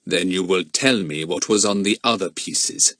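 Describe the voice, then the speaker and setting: A man voicing a fierce, bullying villain who owns an island, speaking slowly and threateningly in an audiobook. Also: on the low side, big pitch swings, fairly bright tone, dry recording, clean studio sound, full character voice. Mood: menacing. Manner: slow, threatening, hissing delivery. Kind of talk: audiobook character voice.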